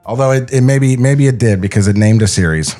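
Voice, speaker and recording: A man's voice: medium pitch, fairly quick, mellow, faint music behind. A man co-hosting a podcast with casual, comedic banter. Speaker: podcast co-host.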